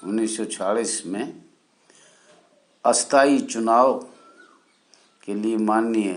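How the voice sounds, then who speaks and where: Slow-talking man from India, lowish voice. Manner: deliberate, halting delivery in short phrases with formal honorifics. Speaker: channel host. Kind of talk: spoken history explainer for viewers.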